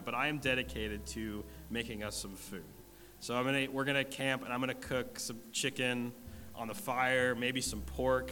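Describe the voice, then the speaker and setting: A man's voice: medium pitch, pushed and bright. Man, stuffy nose, casual church announcements, long hall echo. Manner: casual, self-deprecating, with frequent "um" and "uh". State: stuffy, congested. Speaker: church leader giving announcements and leading prayer. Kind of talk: church announcements and spoken prayer. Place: church sanctuary.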